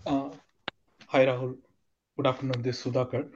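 A man calling in to a lawyer, explaining his case in a halting, roundabout way. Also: mid-range voice, monotone, a little mellow, a little hiss or hum. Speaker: caller. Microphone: conference call line. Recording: dull lo-fi conference call audio.

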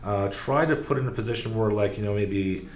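Low-voiced man rambling with fillers. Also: brisk, darkish tone. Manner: rambling, many fillers and restarts. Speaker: car sales guest. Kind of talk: casual interview answers. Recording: echoey room, faint noise.

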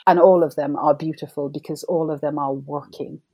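A woman's voice: low voice, steady tone, fairly quick, warm tone, husky, no echo. A middle-aged woman novelist from Jamaica, talking about her writing in a thoughtful, reflective interview. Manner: thoughtful, reflective, laughs as she talks. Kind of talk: conversational interview.

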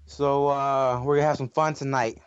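Upbeat man with a smooth voice. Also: medium pitch, little pitch movement, normal speed, dull audio. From Chicago, Illinois. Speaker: podcast co-host.

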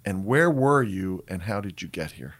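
A man's voice: deep voice, expressive, moderate pace. Rambling male interview host. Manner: rambling, many fillers and restarts. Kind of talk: casual interview.

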